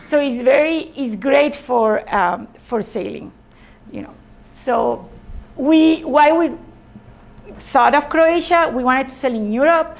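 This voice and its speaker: Spanish lady giving an informal talk, kinda high voice w some creak, repeats her words. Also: takes it slowly. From Spain. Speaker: presenter, sailor. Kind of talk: informal presentation to a group.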